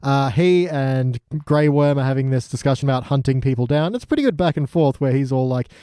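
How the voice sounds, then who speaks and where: A man's voice: mid-range voice, animated, a little fast, mellow, smooth, no room sound, studio quality. A man co-hosting a podcast, recapping TV in casual, jokey banter and slipping into mimicry in asides. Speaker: podcast co-host.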